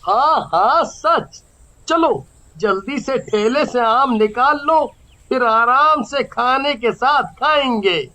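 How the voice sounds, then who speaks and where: A man playing a good-natured, easily startled village mango farmer, with exaggerated, expressive delivery. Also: extremely high pitch, slow pace, heavily acted, rough recording. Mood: cheerful.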